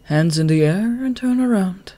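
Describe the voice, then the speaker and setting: A man doing a gruff, barking soldier. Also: sits high, lively intonation, normal speed, warm tone, dry recording, pitched above the performer's own voice. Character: burly middle-aged male soldier with a gun.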